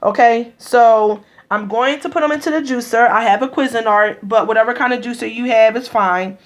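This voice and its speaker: A woman's voice: a little high, moderate pace. A woman, chatting casually as she demonstrates juicing in her home kitchen, rambling with many ums and restarts. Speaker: vlogger.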